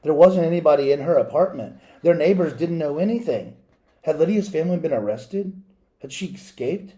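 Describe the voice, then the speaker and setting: A man reading a children's picture book aloud as a storyteller, in a measured, dramatic way with pauses and asides to listeners. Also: on the high side, animated, mellow, poor audio.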